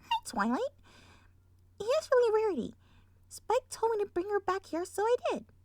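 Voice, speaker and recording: Breathless, squealing little pony girl, put on by a woman reader, very high and dry. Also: sing-song, moderate pace, darkish tone, no echo. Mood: sweet. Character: young cartoon pony girl, sweet and excitable.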